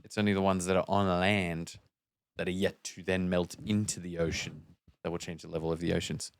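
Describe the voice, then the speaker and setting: A man's voice: low voice, little pitch movement, fairly quick, slight background noise. A man talking casually as a podcast co-host, joking and a little self-deprecating.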